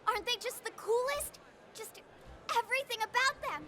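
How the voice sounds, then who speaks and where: A young woman playing an excitable, geeky mech enthusiast in a video game, with a chatty, informal manner. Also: extremely high pitch, normal speed, very noisy. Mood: gushing, starstruck. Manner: gushing, informal, bubbly. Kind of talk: English video game cutscene acting.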